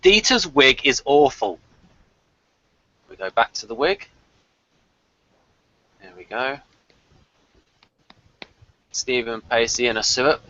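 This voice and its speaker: A man's voice: medium pitch, animated, slow pace, pauses often, bright, forceful tone, dry recording, lo-fi sound. A man co-hosting a casual review chat, with a wry way of talking as he mixes prepared jokes with asides. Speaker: podcast co-host.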